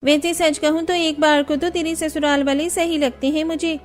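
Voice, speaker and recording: A woman's voice: high-pitched, little pitch movement, moderate pace, faint music behind, natural voice. A woman playing a chatty, emphatic young married neighbor in a cartoon story. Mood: exasperated. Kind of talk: Hindi cartoon story dialogue.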